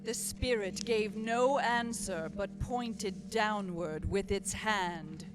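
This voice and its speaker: A woman's voice: medium pitch, slow pace, over music. A woman reading scripted narration as a narrator in a radio play.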